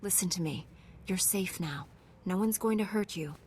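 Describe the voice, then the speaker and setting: A woman's voice: medium pitch, moderate pace, darkish tone, a little hiss or hum, close to an everyday voice. A woman playing a principled, compassionate young warrior heroine in a video game, composed and earnest. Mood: concerned. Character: principled, compassionate young female Jedi warrior, calm and resolute. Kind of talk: video game character acting.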